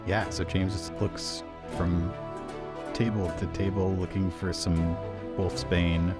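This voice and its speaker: A man's voice: deep voice, level tone, slow pace, loud music behind the voice. A man playing a tabletop roleplaying game, casually chatting and describing what his character does.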